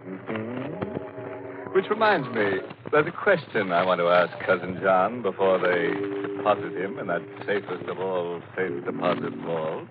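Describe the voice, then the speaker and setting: An actor playing a ghoulish, tongue-in-cheek host of a 1940s radio mystery show, joking macabrely. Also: male voice, fairly low pitch. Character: sardonic, ghoulish male horror-show host. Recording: muffled, noisy old radio recording.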